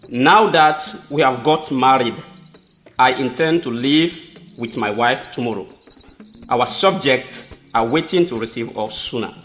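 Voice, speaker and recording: A man's voice: mid-range voice, measured pace, music in the background, close to an everyday voice. A man with a Sierra Leonean accent playing a courteous, smitten newlywed chief in an amateur audio drama, formal and earnest in his delivery. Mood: earnest, grateful.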